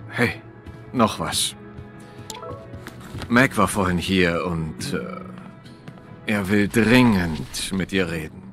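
Low, unhurried, kind young man. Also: a bit bright, soft background music. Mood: easygoing. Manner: easygoing, understated. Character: laid-back, kind, slightly shy young man. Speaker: video game character. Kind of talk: video game dialogue.

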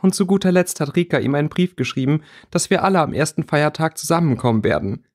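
A man's voice: on the high side, expressive, fast talker, darkish tone, voice pushed up. Guy doing a tearful indignant schoolgirl, storybook reading, raised plaintive voice.

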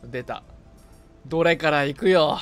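A man's voice: on the high side, unhurried, clear, resonant tone. A man chatting casually and playfully as he streams a co-op video game. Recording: game music behind. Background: video game music.

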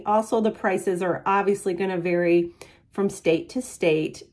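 A woman's voice: medium pitch, fairly even intonation, measured pace, darkish tone. A woman with a cold and a stuffy, nasal voice, from the United States, chatting casually as she walks through a home cooking video and explains prices step by step. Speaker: keto cooking channel host.

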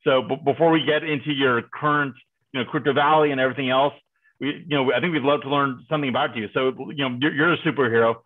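A man's voice: medium pitch, steady tone, fast. A middle-aged man hosting a video call interview, chatty and playful with many fillers. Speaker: host. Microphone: headset on video call. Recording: tinny phone-like video call line.

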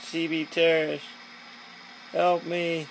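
A man's voice: fairly high pitch, level tone, takes it slowly, creaky, a little hiss or hum, rough recording. A man giving casual, joking commentary in short, repeated phrases with a playful, mock-dramatic manner, on a handheld camera. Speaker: CB radio hobbyist filming his radio. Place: dim indoor radio bench.

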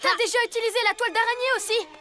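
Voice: very high voice, rapid-fire. A performer voicing an eager, sincere young superhero boy who rallies the team in a French children's cartoon. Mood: determined. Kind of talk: French animated children's series dub. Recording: music behind the voice.

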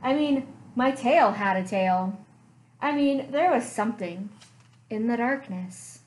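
A young woman reading a picture book aloud for children, slow and expressive with playful sound effects, recorded on a webcam in a small room at home. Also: fairly high pitch. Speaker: children's librarian. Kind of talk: storytime read-aloud.

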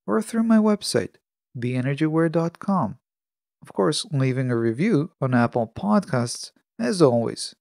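A man hosting a solo podcast, reading a prepared monologue in measured, deliberate phrases. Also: on the high side, creaky, a little mellow, dry recording, clean studio sound. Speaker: podcast host. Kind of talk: scripted solo podcast monologue on self-help.

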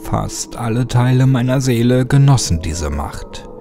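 A man's voice: medium pitch, expressive, measured pace. A man reading scripted horror audiobook narration as the storyteller. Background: music.

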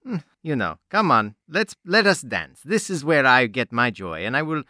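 Man voicing smug Continental seducer, crisp bright tone, dry close mic. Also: mid-range voice, very animated, normal speed, no room sound. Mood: smooth flirtatious. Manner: smooth, teasing, condescending seducer. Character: suave Dutch gentleman with a fake French charm.

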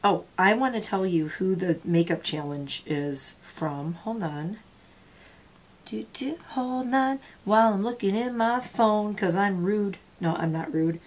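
A woman's voice: medium pitch, lively intonation, a little slow. A woman in her forties, sniffly, chatting casually to her viewers while doing her makeup at home. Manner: chatty, rambling, talks while applying makeup. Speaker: makeup vlogger. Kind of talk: casual get-ready-with-me chat. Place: small home room with gaming chair.

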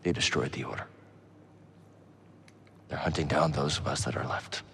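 A weary but sincere Jedi survivor in a cinematic video game. His voice is low and plain-spoken. There is faint ambient noise behind it. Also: steady tone, moderate pace, creaky, bright, forceful tone, full character voice. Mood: earnest, grave. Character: weary, earnest surviving male Jedi hero. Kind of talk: understated cinematic game acting.

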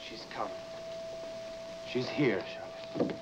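An actor playing a haunted, weak and fearful husband in a 1950s horror melodrama, hesitant and anguished. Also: male voice, mid-range voice, takes it slowly, close to an everyday voice. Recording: very noisy, muffled old broadcast recording.

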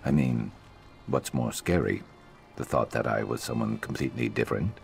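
Very deep, gravelly reptilian loner. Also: male voice, moderate pace, soft background music. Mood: brooding. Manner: dry, deadpan, grumbling delivery. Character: wry, gruff reptilian adventurer companion, a brooding loner. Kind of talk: video game companion dialogue.

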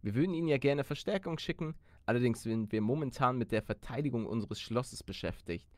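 A man voicing a stern, formal game villager who reads out messages in a measured manner. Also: mid-range voice, expressive, no room sound, natural voice. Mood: solemn, formal.

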